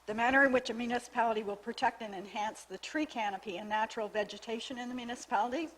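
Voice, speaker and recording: A woman's voice: a little high, fast, fairly bright tone, low-quality recording. Woman losing her voice, briefing a council. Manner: methodical, reads out clauses with small restarts. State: losing her voice, with frequent coughs. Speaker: municipal staff member presenting to town council. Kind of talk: formal briefing on legislation. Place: council chamber at a public meeting.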